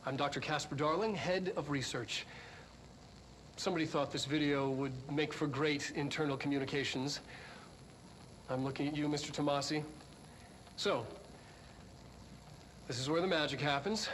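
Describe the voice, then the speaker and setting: An actor playing an eccentric middle-aged male head scientist in a video game, lecturing deliberately and haltingly in an instructional video. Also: fairly high pitch, fairly even intonation, fairly bright tone, a little hiss or hum, natural voice, poor audio. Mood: proud, welcoming. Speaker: head of research. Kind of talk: in-world instructional video presentation.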